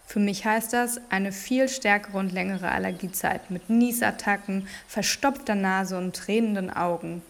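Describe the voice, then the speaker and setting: A woman playing a creaky-voiced allergy sufferer in her thirties. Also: medium pitch, measured pace, hardly any pauses. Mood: plain. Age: 30s. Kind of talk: scripted first-person testimony.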